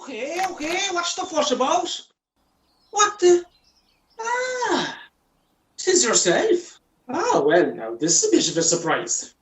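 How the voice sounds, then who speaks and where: A woman's voice: high-pitched, huge pitch swings, slow, long pauses, full character voice. A woman with an Irish accent playing a cocky, roguish male golfer in an amateur radio play. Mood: flustered, blustering. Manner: blustering, chatty, theatrical. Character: cocky, roguish male golf club man, storyteller.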